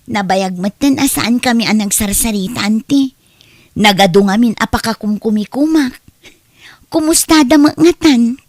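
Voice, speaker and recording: A woman's voice: on the high side, moderate pace, close to an everyday voice. A woman playing a hardworking, ambitious younger sister and wife in a family radio drama, earnest and respectful. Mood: friendly. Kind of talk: Ilocano radio-style family drama acting.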